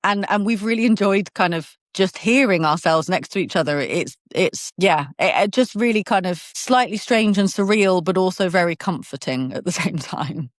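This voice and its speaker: A woman in her thirties from England with a southern English accent, talking in an animated, open way as an interview guest, often laughing and restarting. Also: fairly low pitch, normal speed, few pauses, no echo, studio quality. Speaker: podcast guest. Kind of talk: conversational interview.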